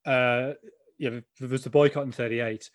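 A man co-hosting a football history podcast, chatting casually and getting animated as he tells the story. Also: medium pitch, moderate pace. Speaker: podcast co-host. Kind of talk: casual chat, reading a quote aloud.

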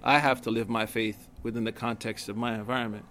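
A man with a deep voice and a cold, teaching a religious study circle at a community center in a relaxed, conversational way. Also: fairly quick, a little hiss or hum. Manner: conversational, with many fillers and restarts. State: has a cold. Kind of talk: casual religious lecture.

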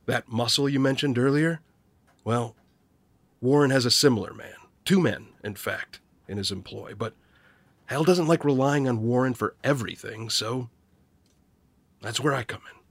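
An actor playing a weary, guilt-ridden small-town loner in a naturalistic audio drama, husky and hesitant, confessing haltingly. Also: male voice, medium pitch, dry recording, lightly acted. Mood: reluctant confessing.

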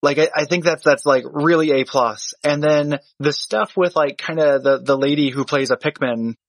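Midwestern man, podcast guest, halting and rambling, dry close sound. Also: fairly high pitch, dry recording, low-quality recording. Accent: American Midwestern. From American Midwest. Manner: rambling, hesitant, many restarts. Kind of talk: casual podcast chat.

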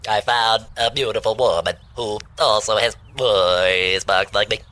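A man playing a male voiceover teacher who speaks through an electrolarynx, in a flat, stiff buzz. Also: on the low side, unhurried. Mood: deadpan.

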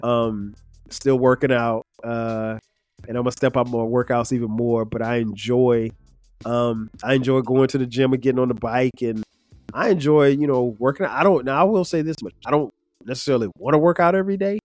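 A man in his fifties, his voice slightly nasal and stuffed-up, talks through a solo podcast in a loose, meandering way, full of fillers and restarts. His voice sits at an ordinary middle pitch. Faint music plays quietly underneath. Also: brisk, no room sound. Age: 50s. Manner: relaxed, rambling, frequent fillers and restarts. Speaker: podcast host. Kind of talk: casual solo podcast reflection.

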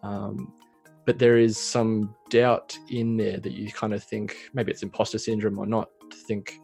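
A man's voice: lowish voice, flat delivery, unhurried, creaky, a little mellow, soft background music. A man in his thirties, a small business owner, talking reflectively in a casual interview with many fillers. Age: 30s.

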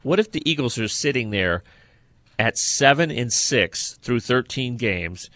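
A man hosting a sports podcast, talking football in a halting way with repeated words. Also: mid-range voice, expressive, a bit bright, no echo. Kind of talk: football discussion.

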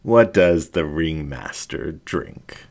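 A man's voice: very deep voice, slow, a little mellow, heavily acted. A man voicing a laid-back, blunt and flirtatious male dingo in a casual, teasing way, as in an audio story. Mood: easygoing.

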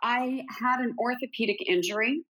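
A woman's voice: a little high, normal speed, sharp tone, rough recording. A middle-aged woman guest, telling her story in an earnest, emphatic way during an interview conversation. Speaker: interview guest, author and speaker.

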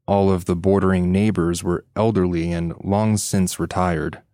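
A man's voice: low-pitched, level tone, normal speed, mellow, no echo, clean studio sound. A man reading a scripted first-person eerie story as a podcast narrator. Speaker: podcast host reading listener stories.

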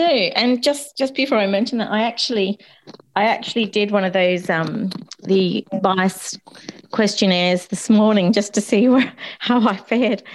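A woman speaking as a guest on a discussion panel, candid and chatty, with a voice still slightly croaky from a cold. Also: medium pitch, low-quality recording. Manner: candid and chatty, with frequent restarts and laughs.

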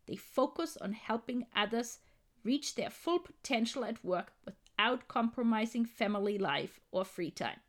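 A woman's voice: fairly high pitch, a bit bright. A woman hosting a podcast, reading a scripted introduction and then chatting eagerly with guests over a video call. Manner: enthusiastic, gushing welcome. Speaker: podcast host. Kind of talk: scripted intro, then friendly interview chat.

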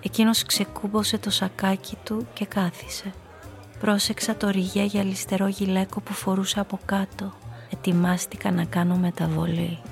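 An actress playing a cynical, seductive femme fatale, confessing her story in an intimate, breathy way in a noir radio play. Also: medium pitch, flat delivery, soft background music, close to an everyday voice. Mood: cool, seductive. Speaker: narrator and lead character.

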